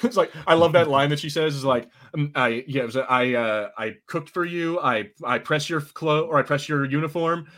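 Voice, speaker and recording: A man's voice: a little high, normal speed, few pauses, a bit bright. A man co-hosting a casual podcast, chatting loosely, laughing often and reading out live chat comments. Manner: laid-back, laughing, puts on quoted voices. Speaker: podcast co-host.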